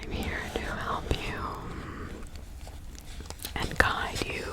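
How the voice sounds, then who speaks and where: A woman playing a kindly, protective village witch and spiritual healer in a whispered ASMR roleplay, hushed, soothing and incantatory. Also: extremely slow. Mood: gentle, reassuring.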